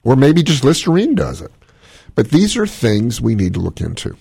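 Gravelly-voiced man hosting call-in radio. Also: medium pitch, huge pitch swings, moderate pace, mellow, no room sound, studio quality. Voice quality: smoky, gravelly voice. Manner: opinionated, rambling explanations. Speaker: cardiologist radio host.

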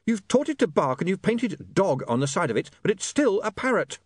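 A man voicing a harassed, brisk, insistent young woman in an audiobook. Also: sits high, very animated, slightly rough, no room sound, pitched above the performer's own voice, heavily acted. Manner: insistent, exasperated. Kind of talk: audiobook character voice.

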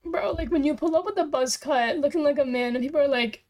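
A woman's voice: high voice, fast, a little mellow. A young woman from Pakistan hosting her own podcast, chatting alone in a rambling, candid way with lots of "like" and "um". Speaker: podcast host. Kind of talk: casual solo chat. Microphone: own microphone.

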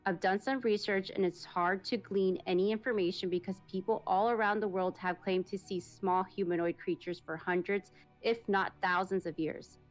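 A woman's voice: mid-range voice, normal speed, hardly any pauses, faint music behind. Woman reading a creepy listener story. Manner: steady storytelling read, plain and even. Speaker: podcast host reading listener stories.

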